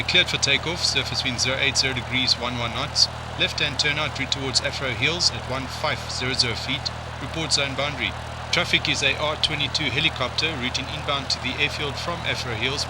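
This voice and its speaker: A man playing an airport tower controller, reading out scripted clearances in radio-call practice. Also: medium pitch, fairly even intonation, brisk, natural voice. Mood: procedural. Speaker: air traffic controller in practice exercises. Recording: clear vehicle-like background noise.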